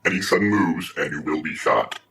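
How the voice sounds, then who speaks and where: A low, tense-toned dystopian patrol officer, acted by a man, officious and menacing. He speaks in deadpan, bureaucratic audio drama style, over faint ambience. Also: brisk, vocal fry, slight background noise, exaggerated voice. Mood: deadpan, formal.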